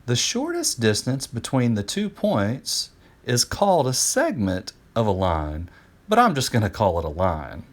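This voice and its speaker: A man's voice: medium pitch, wildly swooping pitch, unhurried, voice pushed up. A man voicing Euclid, a patient and enthusiastic ancient Greek teacher explaining ideas emphatically in a children's storybook. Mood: eager explaining.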